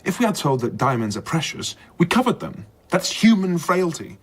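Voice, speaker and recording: An actor playing a worldly, sceptical gentleman in an audio drama, arguing in an assured, rhetorical way. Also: fairly high pitch, big pitch swings, moderate pace. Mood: dismissive.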